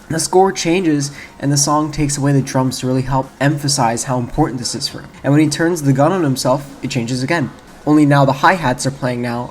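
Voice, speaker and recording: A man giving casual, rambling video essay commentary on a video game, speaking informally and correcting himself as he goes. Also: mid-range voice. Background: game music.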